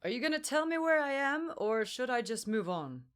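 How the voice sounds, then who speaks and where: A woman's voice: sits high, very animated, normal speed, voice pushed up. A woman voicing a small, glib, talkative adult man with a slight accent in a storybook reading, breezy and wry. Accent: slight unplaced accent.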